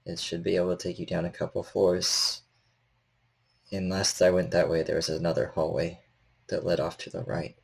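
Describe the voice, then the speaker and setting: A man's voice: deep voice, completely flat delivery, normal speed, gravelly, a little mellow, husky, pitched below the performer's own voice, full character voice. A man playing a nervous, weary repairman in a tabletop game, cautious and helpful, speaking in hesitant stammers.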